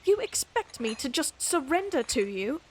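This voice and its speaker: A woman acting as a high-voiced lady knight in a fantasy audio drama, proud and easily indignant. She speaks in formal, haughty phrases with a cutting edge. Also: big pitch swings, normal speed, a little hiss or hum. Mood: terse, wary.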